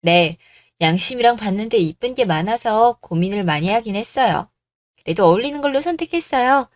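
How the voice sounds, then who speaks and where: A woman's voice: medium pitch, animated, brisk, dry recording, natural voice. A woman voicing a courteous, dutiful young bride-to-be in a radio story drama. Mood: polite. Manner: courteous, composed.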